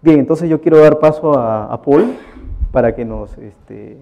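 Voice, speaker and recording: A man giving a technical lecture, often pausing on "eh" and ending phrases with "¿no?". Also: on the high side, creaky, dark tone, muffled recording. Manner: frequent "eh" and "¿no?" tags.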